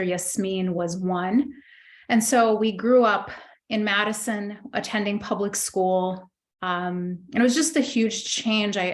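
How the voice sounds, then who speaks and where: A middle-aged woman born in Egypt, a guest in an interview, telling her life story thoughtfully with many pauses and restarts. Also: medium pitch. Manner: thoughtful, many fillers and restarts, warm recollection. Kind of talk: reflective personal storytelling.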